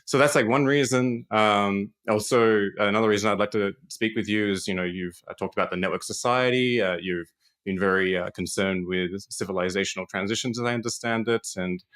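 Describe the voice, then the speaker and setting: A male podcast host with a very low voice asks his guest questions in a casual interview. He is hesitant and fills his sentences with many uhs and ums. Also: a little fast, a bit bright.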